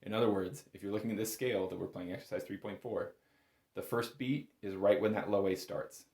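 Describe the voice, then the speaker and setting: Low-voiced man teaching in an echoey room. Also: steady tone, a little fast, a little mellow. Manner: hedging, self-correcting, emphatic on key words. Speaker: bagpipe instructor. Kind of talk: informal instructional talk. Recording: roomy, echoing room sound.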